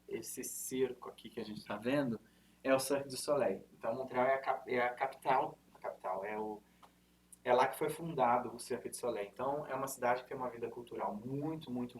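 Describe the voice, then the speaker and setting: A man in his thirties with an interior São Paulo accent, giving an informal presentation to students in a lecture room, chatty and full of fillers and self-corrections. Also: mid-range voice. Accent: interior São Paulo (caipira R). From Brazil, interior of São Paulo state. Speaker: university representative giving a presentation. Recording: distant mic, faint room noise.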